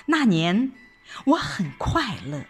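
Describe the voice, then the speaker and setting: A woman reading a scripted first-person audio drama narration in an expressive, reflective storytelling manner. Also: mid-range voice, takes it slowly, husky. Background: background music.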